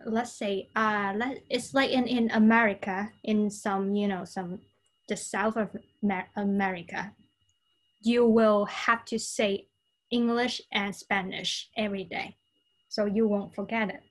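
A woman from China, a native Cantonese speaker, talks as a podcast guest with a Chinese accent. Her pace is slow and her pitch is average. She explains things conversationally, often repeating words as she goes. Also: fairly even intonation, rough recording. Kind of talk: conversational interview answers.

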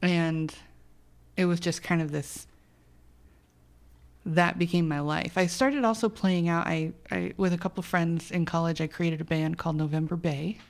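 Brief: thirties woman guest, chatty and self-deprecating, lowish voice. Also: steady tone, moderate pace. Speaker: singer-songwriter guest. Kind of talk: casual podcast chat.

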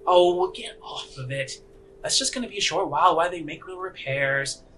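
A man's voice: on the high side, huge pitch swings, a little fast, vocal fry, a bit bright, voice pushed up, heavily acted. A man voicing weary, complaining common passengers, exclaiming and grumbling. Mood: griping. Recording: audible background noise.

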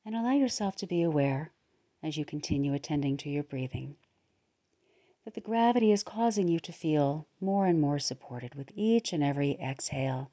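Low, mellow woman guiding a meditation. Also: lively intonation, dull audio. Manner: soothing, unhurried, with pauses between short phrases. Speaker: guided meditation leader, podcast host. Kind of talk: guided relaxation meditation narration.

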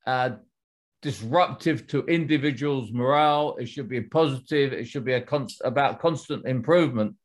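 A middle-aged man, a former executive and author, answering interview questions in a measured, explanatory way with frequent restarts. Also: medium pitch.

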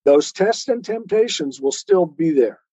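A man's voice: sits high, animated, a little slow, warm tone, husky. A man speaking as an interview guest, earnestly explaining spiritual ideas step by step in a didactic way. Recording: muffled, roomy, lo-fi sound.

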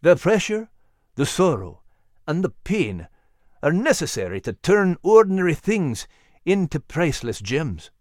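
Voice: mid-range voice, sing-song, a little slow, a little mellow, no echo, studio quality. A narrator voicing a solemn old father giving instruction.

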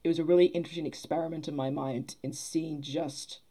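A woman's voice: deep voice, steady tone, brisk, dark tone. A young woman chatting casually as a podcast guest, reflective and articulate, explaining things at length. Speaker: podcast guest, theatre producer and actor. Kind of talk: casual interview chat.

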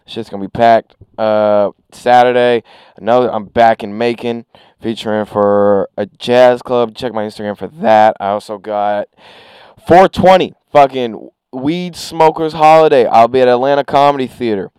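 A man's voice: medium pitch, a little mellow, smooth, no room sound. A man, a comedian and podcast co-host who teases his partner playfully, close to a microphone. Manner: teasing, playful, quick to mock. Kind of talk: casual comedy podcast banter.

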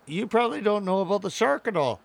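A man's voice: sits high, very animated, fast talker, vocal fry. A man co-hosting a podcast, joking and teasing his guest. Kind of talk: casual podcast chat.

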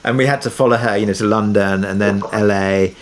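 A man with a fairly low voice speaks as a guest in a relaxed interview. He tells behind-the-scenes stories conversationally, thinking aloud as he goes. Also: brisk, a little hiss or hum. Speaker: drama producer interviewed as a guest. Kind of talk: casual interview anecdote.

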